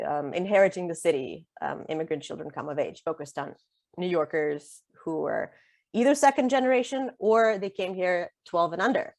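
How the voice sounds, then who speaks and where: A woman's voice: sits low, no room sound. A middle-aged woman whose first language is Russian, an author speaking casually in an interview with many fillers and easy laughter. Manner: chatty, many fillers and restarts, laughs easily. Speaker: author and guest. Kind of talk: conversational interview.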